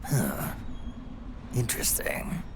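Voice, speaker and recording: An actor playing a weary, stubborn aging soldier in a video game, with a gravelly rasp and terse deadpan delivery. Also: male voice, takes it slowly, whispered, natural voice. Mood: dry, guarded. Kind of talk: video game cutscene acting.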